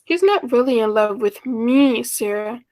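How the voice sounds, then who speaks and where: A woman's voice: fairly high pitch, expressive, unhurried, a little mellow, no echo. A woman acting an anxious, romantic schoolteacher in her mid-thirties in a 1940s style radio play, earnest and hesitant. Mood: flustered, anxious.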